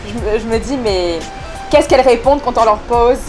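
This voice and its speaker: A woman's voice: on the high side, moderate pace, music in the background. A young woman hosting an interview, engaged and responsive with frequent short acknowledgements. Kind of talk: conversational interview.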